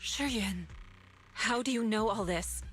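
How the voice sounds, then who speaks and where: A woman's voice: mid-range voice, takes it slowly, husky. An actress playing an earnest, troubled female martial master who speaks questioningly in a dramatic video game. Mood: troubled disbelief. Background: music.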